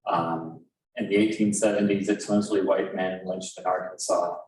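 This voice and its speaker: A man giving an informal history lecture as a panelist, explaining haltingly with many pauses. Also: deep voice, steady tone, darkish tone. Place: echoey event room at a public panel. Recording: echoey room, distant mic, faint noise.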